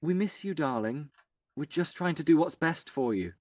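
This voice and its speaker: A man's voice: on the high side, animated, moderate pace, darkish tone, dry recording. An actor playing a protective, coaxing father in an audio drama. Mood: pleading, coaxing. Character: protective, coaxing father of an eighteen-year-old girl.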